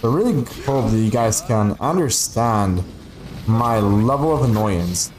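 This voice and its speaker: A man's voice: on the low side, animated, unhurried, noisy background. A man whose first language is Spanish, giving casual, rambling gaming livestream commentary with playful, sweary grumbling. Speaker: game streamer.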